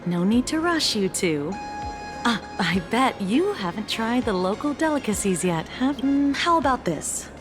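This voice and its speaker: A woman's voice: a little high, sing-song, measured pace, music in the background. A woman playing a composed, genial government officer in a video game, speaking politely and explaining things. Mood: wry, friendly. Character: composed, genial female officer of an anomaly department.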